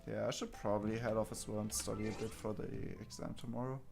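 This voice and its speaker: A woman chatting casually on a game stream, in a hesitant, halting way. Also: rumbling low, steady tone, gravelly, over music.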